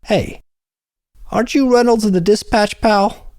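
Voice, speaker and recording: A man's voice: sits high, fairly even intonation, measured pace, darkish tone, dry recording, clean studio sound, pitched above the performer's own voice. A man voicing a pushy, nosy fellow on the street in a crime audiobook, insistent and prying. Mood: pushy, inquisitive.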